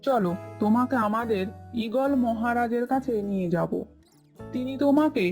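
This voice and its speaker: Cartoon crow, lazy and cunning, female performer, highish pitch, bossy grumbling, music underneath. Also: moderate pace, a little mellow, natural voice. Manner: complaining, bossy. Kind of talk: cartoon story dialogue.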